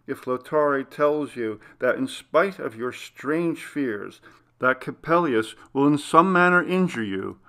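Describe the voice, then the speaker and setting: A man reading a classic Gothic story aloud as scripted narration, in a measured, formal literary manner. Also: medium pitch, a bit bright. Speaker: audiobook reader.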